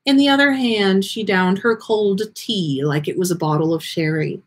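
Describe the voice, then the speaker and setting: A woman hosting a story livestream, reading aloud and chatting in an expressive, effusive way. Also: medium pitch, moderate pace. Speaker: podcast host reading listener stories.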